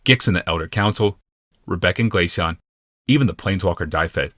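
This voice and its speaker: A man reads a fantasy audiobook in a low voice with a bright, forceful edge. The delivery is scripted dramatic narration, and the recording is very dry. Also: brisk, no echo.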